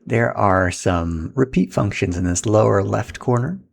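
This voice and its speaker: A man's voice: lowish voice, measured pace, gravelly. A man giving a casual, step-by-step setup tutorial in an informal, instructional way as he handles equipment.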